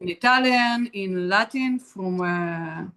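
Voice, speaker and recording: Slow, hesitant Russian-speaking woman composer. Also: mid-range voice, fairly bright tone, clear, resonant tone. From Azerbaijan. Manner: hesitant, many fillers, searches for words. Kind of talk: conversational interview.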